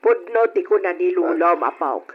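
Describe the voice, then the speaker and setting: A woman playing a caring old grandmother in a radio drama, fretting in a measured, motherly way. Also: on the low side, animated, breathy edge. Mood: concerned. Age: older. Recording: dull, noisy old radio recording.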